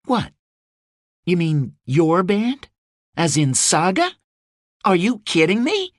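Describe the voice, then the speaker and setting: A woman's voice: sits low, expressive, a little slow, dry recording, clean studio sound, voice pushed up. A man voicing a fierce, foul-mouthed young mercenary woman. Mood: incredulous, pleading. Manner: sharp-tongued, swearing, blunt.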